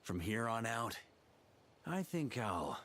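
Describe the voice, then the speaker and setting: An actor playing a weathered, easygoing and noble knight commander in a video game, wry and sincere, with music behind him. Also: male voice, medium pitch, sing-song, fairly bright tone. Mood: warm, relieved. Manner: unhurried, wry, sincere, with sighs. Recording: music under the voice.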